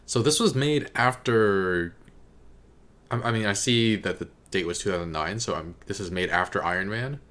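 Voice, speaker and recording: Low-pitched man casually thinking aloud. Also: moderate pace, a bit bright. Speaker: reaction video host. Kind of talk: casual unscripted commentary.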